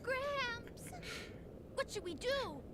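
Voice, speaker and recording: A woman's voice: extremely high pitch, animated, extremely slow, crowd noise, full character voice. A woman voicing an earnest, worried young boy adventurer in a video game cutscene. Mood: alarmed.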